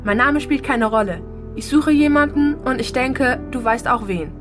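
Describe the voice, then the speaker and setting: Woman doing a stubborn kid girl, challenging, audio drama, higher voice. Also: normal speed, soft background music, close to an everyday voice. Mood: demanding. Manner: insistent, challenging. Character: determined young girl searching for her sister.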